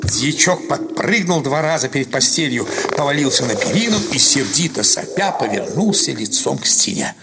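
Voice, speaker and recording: A man's voice: medium pitch, expressive, moderate pace, vocal fry, hardly any pauses, heavy background noise. A man narrating a literary story in a dramatic radio style. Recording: loud music behind the voice.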